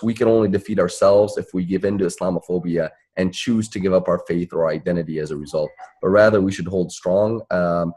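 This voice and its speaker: Low, husky-voiced man giving advice. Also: darkish tone. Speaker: panelist, civil rights attorney and activist. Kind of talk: earnest advice at a town hall discussion.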